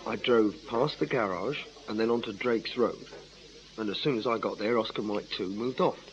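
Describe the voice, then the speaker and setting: An actor playing an earnest, deferential detective constable who is easily puzzled, in a radio crime drama. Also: male voice, fairly low pitch, normal speed, lightly acted. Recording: muffled radio drama recording.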